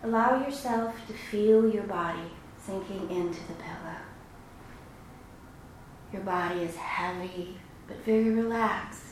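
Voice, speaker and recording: A woman leads a guided meditation, giving gentle instructions with long pauses between phrases. Her mid-pitched voice rings in a very reverberant room. There is clear noise behind her. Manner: slow, soothing, instructive delivery with long pauses. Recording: very echoey room, clearly noisy background.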